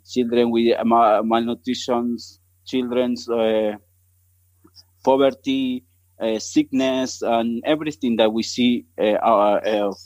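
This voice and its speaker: A man in his thirties from Argentina, with an Argentine Spanish accent and Spanish as his first language, speaking haltingly as a missionary guest on a podcast. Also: medium pitch, darkish tone, dry recording, poor audio. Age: 30s. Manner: halting, searching for words in English. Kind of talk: podcast interview.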